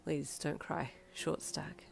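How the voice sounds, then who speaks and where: A woman voicing a gentle, hesitant nineteen-year-old boyfriend in a soft, hushed voice, in a romance story. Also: rumbling low, flat delivery, brisk, faint music behind, voice pushed down. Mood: gentle, loving. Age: young adult. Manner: tender, hesitant.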